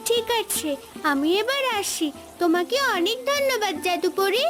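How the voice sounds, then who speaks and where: A woman's voice: very high voice, animated, moderate pace, soft background music. A woman voicing an earnest, helpful little village girl in a cartoon, eager and wide-eyed. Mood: amazed. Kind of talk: cartoon voice acting.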